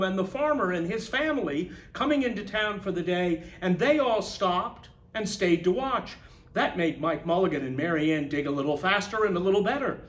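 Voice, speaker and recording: Male children's storyteller, high-pitched, expressive and emphatic read-aloud, echoey room. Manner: expressive, emphatic, explains words in asides. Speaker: school storyteller reading to children. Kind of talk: animated read-aloud of a children's picture book.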